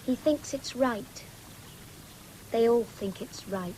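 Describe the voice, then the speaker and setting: A woman's voice: fairly high pitch, slow, mellow, rough recording. A woman playing a frank, wistful young farmer's daughter in a radio drama. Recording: 1970s radio broadcast with background noise.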